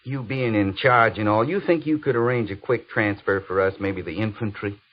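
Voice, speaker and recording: An actor playing a gruff, wry cavalry sergeant with a blunt, folksy manner in an old-time radio western. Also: male voice, on the low side, normal speed, lightly acted. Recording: muffled, noisy old radio broadcast.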